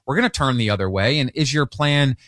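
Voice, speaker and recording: A man co-hosting a financial radio show, bantering in a quick, jokey way. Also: medium pitch, expressive, a bit bright, no room sound, clean studio sound.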